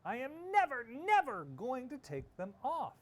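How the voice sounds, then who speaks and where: Man putting on an exuberant high-pitched little girl. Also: huge pitch swings, measured pace, heavily acted. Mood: stubborn delight. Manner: shouting, stretched-out gleeful delivery. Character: exuberant stubborn little girl in love with her socks. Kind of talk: animated children's storybook read-aloud.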